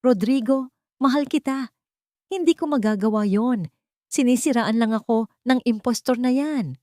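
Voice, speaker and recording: Lady narrator doing a scheming desperate villainess, shrill n frantic, audio drama style, dry close sound. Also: normal speed, mellow, no echo, close to an everyday voice. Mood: desperate pleading. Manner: shrill, frantic, pleading and denying. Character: scheming murderous woman, cornered and desperate.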